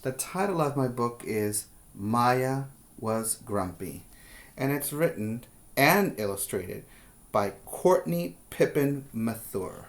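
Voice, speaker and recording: Slow, deliberate teacher reading aloud. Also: medium pitch. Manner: deliberate, emphatic on story words, explains to children. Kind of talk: read-aloud storytelling for preschoolers, with explanatory asides.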